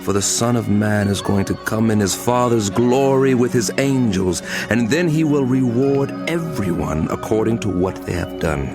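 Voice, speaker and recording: A man's voice: on the low side, lively intonation, normal speed, hardly any pauses, over music. An actor playing a grave, commanding male teacher in a dramatized scripture recording, speaking with solemn, emphatic delivery. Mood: solemn, earnest. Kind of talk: dramatized scripture audio acting.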